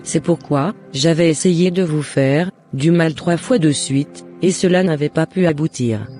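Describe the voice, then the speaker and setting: Man voicing a high-pitched jealous neighbour woman. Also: a little slow, darkish tone, faint music behind, close to an everyday voice. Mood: remorseful confession. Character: jealous, scheming woman neighbour turned remorseful.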